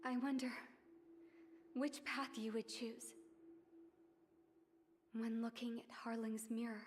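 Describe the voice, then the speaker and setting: An actress playing a pensive, earnest young woman in a game cutscene, measured and contemplative. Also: fairly high pitch, level tone. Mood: wondering. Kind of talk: dramatic game cutscene dialogue. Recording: loud ambient noise behind.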